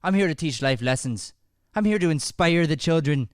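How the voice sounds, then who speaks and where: A man's voice: a little high, expressive, moderate pace, clear, resonant tone, no echo, natural voice. Irish male teacher, man acting, nervous, evasive, pleading; improvised radio comedy, dry close sound. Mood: stubborn, defensive.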